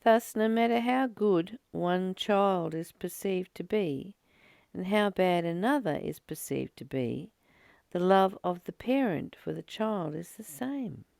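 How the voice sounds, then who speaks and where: Low, slow, creaky-voiced woman reading. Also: animated, a little mellow, no echo, studio quality. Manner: halting, reflective, explanatory, with pauses between short phrases. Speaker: spiritual study reader and commentator. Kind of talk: slow read-aloud study with informal commentary.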